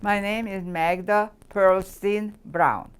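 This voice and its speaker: Smooth-voiced woman in her nineties. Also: mid-range voice, fairly even intonation. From Hungary. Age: 90s. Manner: careful, halting recollection. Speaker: Holocaust survivor interviewee. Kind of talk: recounting childhood memories. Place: indoor room, seated interview.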